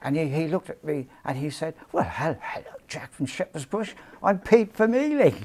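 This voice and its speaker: A man's voice: fairly high pitch, big pitch swings, normal speed, darkish tone. A man in his seventies with a Cork Irish accent, telling rambling, self-deprecating stories from his youth as an interview guest, with many ums and restarts. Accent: Cork Irish, softened by years in London. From Cork, Ireland. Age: 70s. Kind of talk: storytelling reminiscence.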